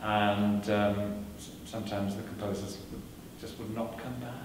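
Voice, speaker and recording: A man whose first language is Welsh, a performer speaking in a measured, thoughtful way with many fillers and restarts, heard from a distance in a large lecture hall. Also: on the low side, flat delivery, background noise. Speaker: performer giving a talk. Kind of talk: lecture answering audience questions. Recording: echoey hall recorded from a distance.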